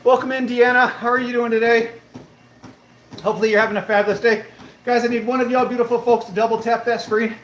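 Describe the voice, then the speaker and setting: High-voiced motivational streamer man. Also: level tone, quick pace, fairly bright tone. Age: 30s. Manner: upbeat, motivational, reads and answers viewer comments. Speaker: livestream host. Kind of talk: live-stream chat while on a treadmill. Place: home room with a treadmill, indoors. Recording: roomy echo, faint background noise.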